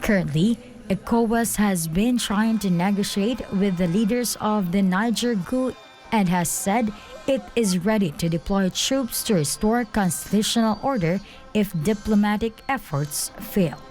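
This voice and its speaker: A woman's voice: medium pitch, a little slow, a little mellow, faint music behind. A woman reading a scripted news report in the role of reporter.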